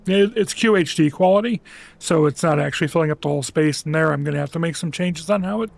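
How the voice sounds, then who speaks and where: A man chatting casually on a home livestream through a headset mic, rambling with lots of restarts and fillers in a relaxed, soft-spoken way. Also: a little high, fast talker, gravelly. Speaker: streamer.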